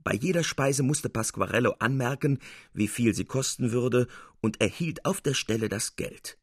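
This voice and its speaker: A man narrating a scripted literary tale for radio as an expressive, theatrical storyteller. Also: mid-range voice, moderate pace, slightly rough, no echo, clean studio sound.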